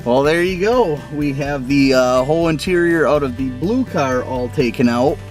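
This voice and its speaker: A man's voice: mid-range voice, moderate pace, soft background music. A man talking informally to viewers as he explains a hands-on car project, in a do-it-yourself vlog style. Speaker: car restoration vlogger. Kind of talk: casual do-it-yourself vlog narration.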